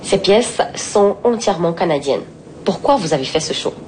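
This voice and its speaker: A woman's voice: on the low side, expressive, normal speed, a little mellow, slight background noise. A woman interviewing for a culture programme, putting prepared questions.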